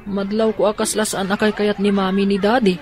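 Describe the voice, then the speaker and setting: A woman's voice: mid-range voice, flat delivery, brisk, a crowd in the background, lightly acted. A woman playing a worried but resolute, scheming young girl in a radio drama. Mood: observant. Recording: faint background noise and ambience.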